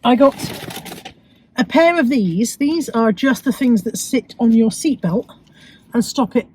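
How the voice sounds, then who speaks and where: A woman with a cold, sounding croaky and sniffly, chatting casually to viewers about her shopping with self-mocking asides. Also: a little high, animated, measured pace, a little mellow, poor audio. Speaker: vlogger. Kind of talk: chatty shopping haul vlog.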